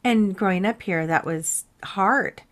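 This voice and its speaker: A woman in casual, reflective conversation, thinking aloud in an expressive way, with Vietnamese as her first language. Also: medium pitch, a little slow.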